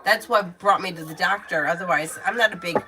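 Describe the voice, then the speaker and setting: A woman with a lowish voice talks casually through a livestream of tarot readings, candid and loose-tongued. She swears freely and turns to viewers by name as she reads their cards. A steady hiss of background noise runs under her voice. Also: fairly quick. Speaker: tarot reader answering viewer questions.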